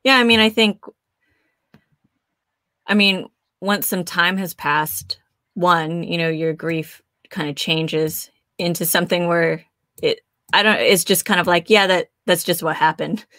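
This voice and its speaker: A woman's voice: on the low side, fairly even intonation, measured pace, no room sound, clean studio sound. A woman moderating a casual video call, chatting with a laugh and asking the panel questions. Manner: warmly laughing, conversational, asks questions. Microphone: webcam on a video call.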